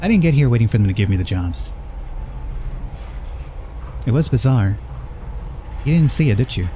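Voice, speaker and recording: A man's voice: medium pitch, expressive, moderate pace, mellow, a little hiss or hum, voice pushed up, natural voice. A man voicing an ambitious, opportunistic female TV reporter in a horror game, in an assertive, matter-of-fact way. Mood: brisk, insistent. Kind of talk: reading game dialogue in character.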